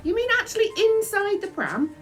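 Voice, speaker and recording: A woman acting out a small storybook prince, a boy with a high-pitched voice. He questions everything in disbelief and protests indignantly. There is faint music behind the voice. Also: big pitch swings, moderate pace, far from the mic. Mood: incredulous. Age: child. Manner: incredulous, protesting. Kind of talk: children's storybook character voice.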